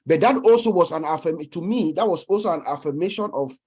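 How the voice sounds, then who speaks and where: A man's voice: a little high, lively intonation, quick pace, darkish tone, breathy edge. A man from Cameroon, a panel guest, speaking hesitantly with many fillers and repeated words. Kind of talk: casual panel chat.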